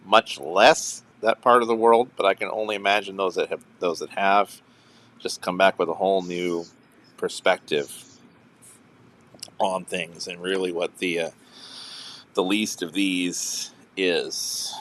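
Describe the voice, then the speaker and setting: A man's voice: sits low, fairly even intonation, a little slow, fairly bright tone, slight background noise. A young man hosting a casual live music hangout stream, chatty and rambling, often chuckling and restarting sentences. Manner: rambling, chuckling, self-interrupting. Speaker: show host.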